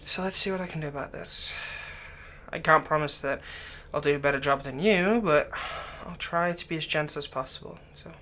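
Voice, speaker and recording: A man playing a doting, lightly teasing fantasy villain captor in an ASMR roleplay, speaking softly and tenderly, coaxing the listener without hurry. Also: on the high side, a little hiss or hum. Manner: soft, coaxing, unhurried, tender.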